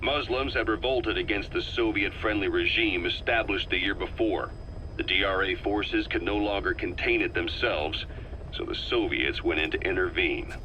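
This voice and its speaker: A grave, knowing soldier delivers a video game briefing in a very deep, hard-edged voice. His delivery is measured and deliberate, with weight on key phrases. The sound is a dull cassette recording with faint noise. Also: bright, forceful tone. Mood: grave, explanatory. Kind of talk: scripted dramatic briefing narration.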